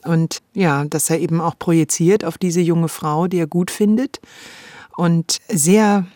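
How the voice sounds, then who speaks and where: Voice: medium pitch, fairly even intonation, unhurried, darkish tone, no room sound, studio quality. A podcast co-host, discussing radio drama conversationally, thinking aloud and giving opinions.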